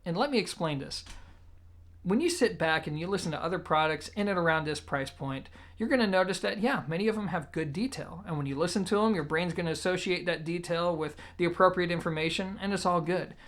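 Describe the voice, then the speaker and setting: A reviewer speaks in a mid-pitched voice. The delivery is conversational and explanatory, working through points in a methodical order. Informal asides to the audience keep the presentation casual. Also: brisk, fairly bright tone. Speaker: product reviewer, show host. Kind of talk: conversational audio gear review to camera.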